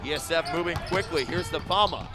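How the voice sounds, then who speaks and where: A man's voice: on the high side, fairly quick. A man giving live basketball play-by-play as the announcer. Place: indoor basketball gym. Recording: noisy gym, bouncing balls. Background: loud game noise, ball bounces.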